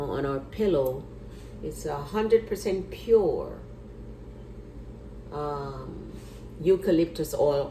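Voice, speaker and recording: A woman's voice: lowish voice, darkish tone. An older woman, a retired nurse, chatting casually at home as she walks through a simple remedy step by step, often repeating herself. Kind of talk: casual home demonstration video. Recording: echoey room with faint background hiss.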